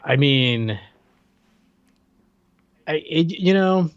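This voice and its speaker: A man's voice: fairly high pitch, expressive, drawn-out words, clear, resonant tone, dry recording, highs cut off. A man co-hosting a podcast in casual chat, often stammering and restarting his sentences. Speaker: podcast co-host.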